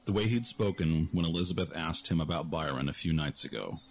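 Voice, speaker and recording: A man's voice: extremely low pitch, brisk, a little hiss or hum. A man giving scripted narration for a fantasy audio drama.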